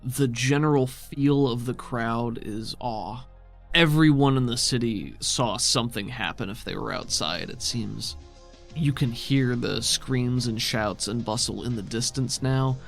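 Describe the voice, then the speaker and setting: A man's voice: medium pitch, measured pace, vocal fry. Male game master narrating over music. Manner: descriptive, conversational. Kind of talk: narrating a tabletop roleplaying game.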